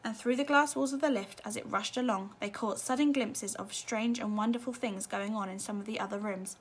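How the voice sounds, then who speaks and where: A woman's voice: a little high, fairly even intonation, brisk, slightly rough. A woman narrating a children's storybook expressively, as a teacher reading at bedtime.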